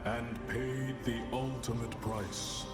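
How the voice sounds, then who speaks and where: An actor playing a solemn, majestic god who narrates a tale of doom in a grave, declamatory way for a game cutscene. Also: male voice, medium pitch, fairly even intonation, takes it slowly, lightly acted. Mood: solemn, ominous. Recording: echoing, loud music over the voice.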